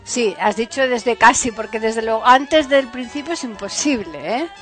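Higher-voiced female radio co-host. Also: moderate pace, faint music behind. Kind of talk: radio interview introduction.

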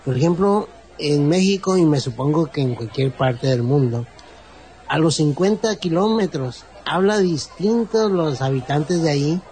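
A man's voice: fairly high pitch, expressive, a little mellow, poor audio. Explaining Mexican man from Baja California. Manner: explanatory, drawn-out, acts out sayings. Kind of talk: casual group conversation.

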